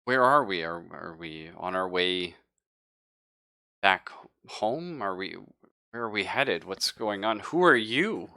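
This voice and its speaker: A man's voice: deep voice, lively intonation, slow, sharp tone, dry recording, studio quality, exaggerated voice. A man playing a weakened, disoriented ship's medic in a tabletop game, anxious and apologetic, stammering through his questions. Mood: confused, anxious.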